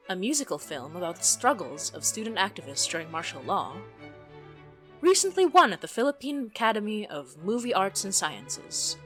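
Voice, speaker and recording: A woman reading a scripted informational announcement formally, with deliberate emphasis. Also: medium pitch, a bit bright. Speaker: podcast announcer.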